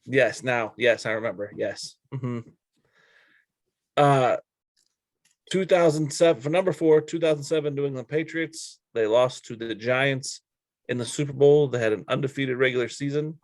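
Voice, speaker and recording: A man's voice: mid-range voice, moderate pace, no echo. A man co-hosting a casual sports talk show, with a blunt, opinionated way of talking. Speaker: sports podcast co-host. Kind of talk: casual sports chat, counting down a list.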